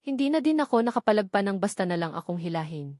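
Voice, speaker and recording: A woman's voice: mid-range voice, big pitch swings, fast, darkish tone, no room sound. Filipino woman narrating a novel, dry close sound. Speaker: audiobook narrator. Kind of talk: scripted first-person novel narration.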